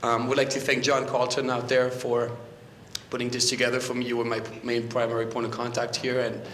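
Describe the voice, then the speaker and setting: A man's voice: medium pitch, monotone, a little fast, fairly bright tone, slight background noise. A man in his thirties from France with a French accent, giving a history lecture at a podium microphone, conversational with frequent restarts. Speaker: guest lecturer. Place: library meeting room. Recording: echoey room.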